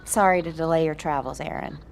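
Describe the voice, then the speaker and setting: Sugary, motherly, lower-pitched wife. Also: a little fast, gravelly, a little mellow, soft background music. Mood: coaxing, reassuring. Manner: sugary, coaxing, full of endearments. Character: sweetly motherly pregnant wife, solicitous toward a teenage girl. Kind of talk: naturalistic audio drama acting.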